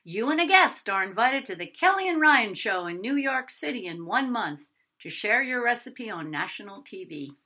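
A woman's voice: fairly high pitch, bright, forceful tone, voice pushed up, exaggerated voice. A woman voicing a cheerful, gushing sauce company caller announcing a prize in a story reading.

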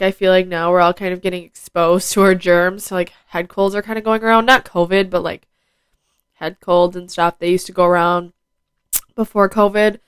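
A woman's voice: lowish voice, smooth, no echo. Nasal teenage girl with a cold. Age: teens. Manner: chatty, many "like" fillers. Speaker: podcast host. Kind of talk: casual solo podcast chat. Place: at home. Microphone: plugged into phone.

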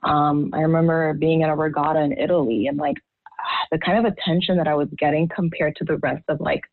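A young woman from Haiti talks candidly as a podcast guest. Her voice sits low, and she speaks haltingly, with many restarts. The sound is tinny and phone-like, with no room echo. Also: fairly even intonation. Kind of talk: candid personal testimony.

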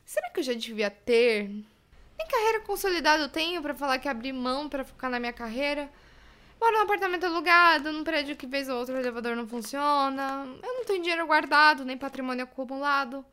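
A woman's voice: high-pitched, animated, quick pace, bright, forceful tone. An actress playing an anxious young single woman with a Brazilian accent, rambling through catastrophic self-questioning in an audio drama. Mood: anxious brooding. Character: anxious, spiralling young single woman doubting her life choices.

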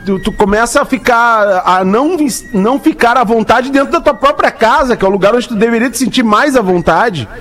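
A man's voice: very high voice, expressive, fairly quick, hardly any pauses, a bit bright. A man, co-hosting a casual talk show and working through his points with lots of fillers. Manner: talks it through with many fillers. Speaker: co-host. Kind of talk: casual radio chat.

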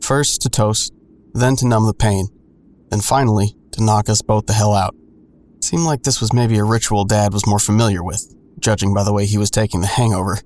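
A man playing a grown son who narrates an audio drama in a sardonic, colloquial, deadpan way. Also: fairly low pitch, normal speed, slight background noise, close to an everyday voice. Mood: wry, reflective. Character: wry adult son narrating his own hard-boiled story. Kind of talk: first-person audio drama narration with dialogue.

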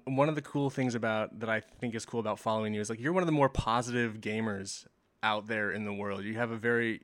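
A man's voice: medium pitch, a bit bright, no echo. A man hosting a casual video game podcast, asking friendly, rambling questions with plenty of fillers. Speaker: podcast host.

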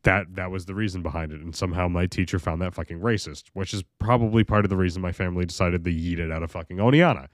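Young American man, very low voice, loose rambling delivery, dry recording. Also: fast, few pauses, no echo. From United States. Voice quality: very deep voice. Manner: rambling, lots of fillers and restarts, swears casually. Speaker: video creator. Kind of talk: casual monologue vlog.

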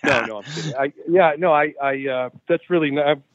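A man's voice: on the high side, a little fast, no room sound. A male guitarist, the guest in an interview, telling stories in a loose, rambling way full of restarts and fillers over the phone. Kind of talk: casual interview talk. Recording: tinny phone line.

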